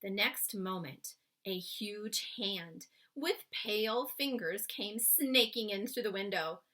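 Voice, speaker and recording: A woman reading a children's story aloud in an expressive, suspenseful way, stretching key words for emphasis and chatting to young listeners. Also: medium pitch, slow pace. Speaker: children's book read-aloud reader.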